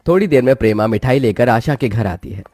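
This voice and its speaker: A man's voice: medium pitch, expressive, a little fast, darkish tone, dry recording. A man reading a scripted moral story as its narrator, with music behind him.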